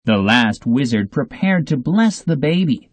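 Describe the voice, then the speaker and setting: A man's voice: mid-range voice, sing-song, measured pace, dry recording. A man narrating a fairy tale from a script for English learners. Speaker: storybook narrator.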